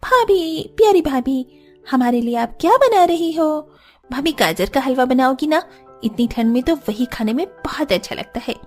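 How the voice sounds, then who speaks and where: A woman's voice: sits high, expressive, normal speed, faint music behind. A woman playing a girlish, carefree young sister-in-law in a cartoon, coaxing and demanding with exclamations. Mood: wheedling. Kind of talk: Hindi cartoon story dialogue.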